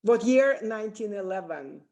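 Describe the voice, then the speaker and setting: An older woman from Russia, speaking English with a Russian accent, calling in on a video call and talking haltingly as she searches for words. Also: mid-range voice, expressive, vocal fry, darkish tone. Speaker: audience caller. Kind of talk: casual remarks on a video call.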